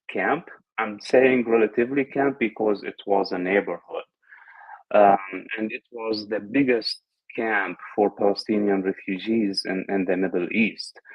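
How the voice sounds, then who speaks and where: Man, low voice, muffled lo-fi recording, interview guest, halting, repeats words. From Syria. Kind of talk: conversational interview. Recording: dull lo-fi audio.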